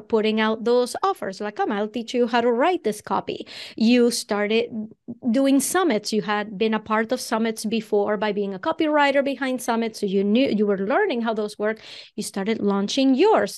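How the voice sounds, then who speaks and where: Woman with a Venezuelan accent hosting. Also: fairly high pitch. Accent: Venezuelan Spanish. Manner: long, winding questions. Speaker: podcast host. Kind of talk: conversational interview.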